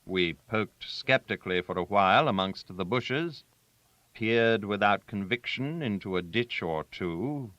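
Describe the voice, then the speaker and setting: Animated, low-voiced man reading literature. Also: measured pace, dry recording, low-quality recording. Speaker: audiobook narrator.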